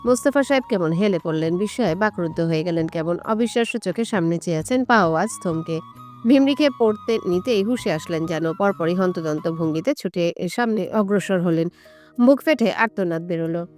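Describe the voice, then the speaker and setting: A woman's voice: lowish voice, a little fast, darkish tone, soft background music. A woman reading a scripted romantic story as its narrator.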